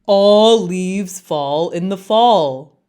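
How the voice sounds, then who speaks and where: A woman voicing know-it-all, taunting leaves in a children's storybook, speaking loudly and drawing out the words. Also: lowish voice, expressive, smooth. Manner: slow, loud, drawn-out taunting.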